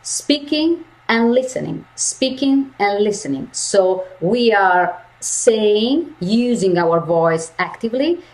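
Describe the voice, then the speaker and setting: Slow, emphatic woman voice coach. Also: medium pitch, animated, rough recording. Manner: slow, emphatic, repeats key phrases. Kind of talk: lecture to university students.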